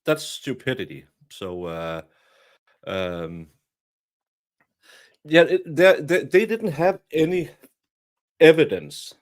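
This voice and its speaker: Halting man giving opinions. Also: on the high side, animated. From Denmark. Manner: halting, repeats words, frequent fillers. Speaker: guest commentator. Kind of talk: casual opinion chat.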